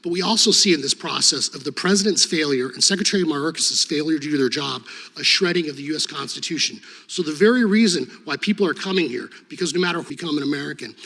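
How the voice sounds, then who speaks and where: A man's voice: fairly high pitch, fast talker, gravelly, few pauses, sharp tone. A man, a former state attorney general who grew up with Serbo-Croatian as his first language, giving earnest testimony at a congressional hearing at a desk microphone, with frequent fillers and restarts. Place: large hearing room. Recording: long hall reverb.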